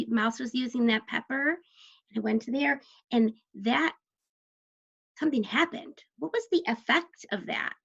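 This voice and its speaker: Female primary teacher for a children's story lesson: unhurried, questioning, encouraging delivery with creaky edges, dull lo-fi sound. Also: fairly high pitch. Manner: instructional, questioning, pauses for answers, encouraging. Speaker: elementary school teacher. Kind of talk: read-aloud lesson talk to young children.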